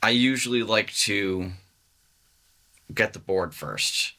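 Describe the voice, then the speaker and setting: A man, a music engineer guest, talking casually in an interview, pausing often with fillers. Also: on the low side, animated. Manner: hesitant, many fillers.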